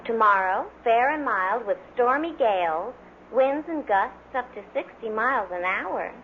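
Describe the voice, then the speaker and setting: A woman's voice: on the high side, expressive, measured pace. An American woman reading scripted announcements in a vintage broadcast style. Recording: dull, faintly noisy vintage broadcast recording.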